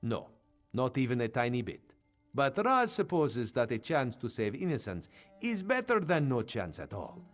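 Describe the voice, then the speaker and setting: This is a sly male cat-folk spy from a fantasy game, as a man voices him. He speaks of himself in the third person with careful, formal phrasing and a lively, swinging delivery. Faint music runs behind him. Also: mid-range voice. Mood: reasoning. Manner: refers to himself by name, formal and measured.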